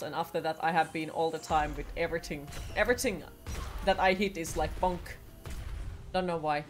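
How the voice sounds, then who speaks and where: Young woman, Finnish accent, game streamer, playful chat, lively pitch, faint game music. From Finland. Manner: chatty, playful, swears casually. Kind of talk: casual chat with chat while gaming.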